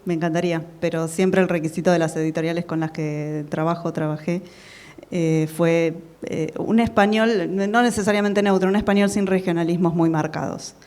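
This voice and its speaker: A woman translator answers on a book-fair panel. Her voice is low and flat. She hesitates a lot and restarts often as she speaks. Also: normal speed. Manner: hesitant, many fillers and restarts. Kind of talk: panel discussion. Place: conference hall at a book fair.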